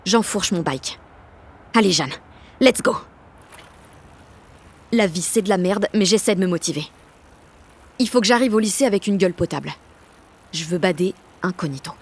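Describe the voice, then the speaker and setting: A woman's voice: lowish voice, animated, moderate pace, long pauses, breathy edge. An actress playing a sullen sixteen-year-old French village girl, narrating an audio drama in blunt, sardonic slang full of swearing. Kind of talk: first-person audio fiction narration in teen slang.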